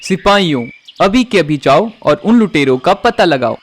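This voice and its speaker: A man's voice: sits high, animated, normal speed. A man voicing a bird king in a cartoon, speaking with authority and giving orders. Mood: commanding.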